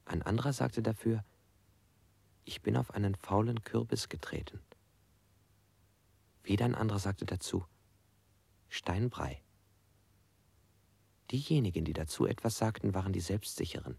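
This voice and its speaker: An actor playing a bound, beaten male prisoner in a radio drama, talking in a halting, bitter, musing way. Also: fairly low pitch, lively intonation, gravelly, a little mellow, slightly rough, dry recording, full character voice. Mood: brooding, bitter.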